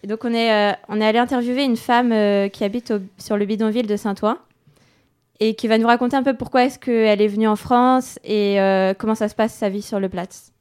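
A woman's voice: fairly high pitch, fairly even intonation, fairly quick, a little mellow, smooth. A young woman hosting a radio programme, presenting conversationally with informal, hesitant links. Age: young adult.

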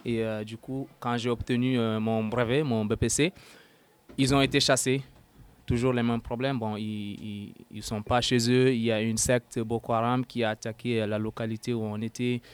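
A young man in his twenties from Sudan speaks in a smooth, resonant voice at a mid pitch. He talks haltingly, pausing to search for words, and presses harder when something angers him. His tone is earnest and personal, the way a guest speaks in an interview. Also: little pitch movement. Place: at a housewarming gathering.

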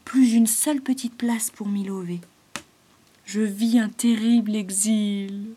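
A woman voicing a gentle, weary male python who speaks in a soft, breathy whisper. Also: mid-range voice, steady tone. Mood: plaintive. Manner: slow, hushed and plaintive.

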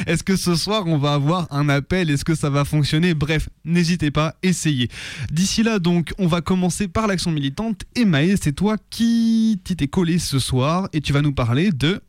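A man's voice: fairly high pitch, moderate pace, no echo, clean studio sound. A man co-hosting a live radio show in a casual, informal and conversational way.

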